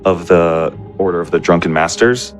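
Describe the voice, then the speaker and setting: A man's voice: low voice, normal speed, soft background music. A man chatting casually as a player at a tabletop game.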